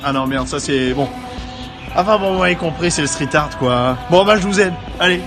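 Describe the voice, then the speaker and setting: A man giving a playful, halting outdoor city tour as a casual video message, pausing often as he talks. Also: a little high, music in the background. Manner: jokey, hesitant, halting with frequent pauses. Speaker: self-styled tour guide sending a wedding video message. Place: outdoors on city streets and by a river.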